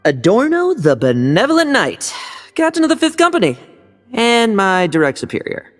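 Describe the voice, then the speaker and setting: An actor playing a sly, cheerful young knight in a game, breezy and smooth-talking. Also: male voice, high voice, wildly swooping pitch, normal speed, fairly bright tone, soft background music, heavily acted.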